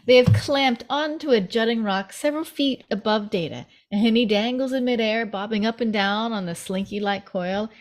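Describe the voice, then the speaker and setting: A woman's voice: fairly high pitch, moderate pace, hardly any pauses. A woman reading stage directions from a screenplay as scripted narration at a table read.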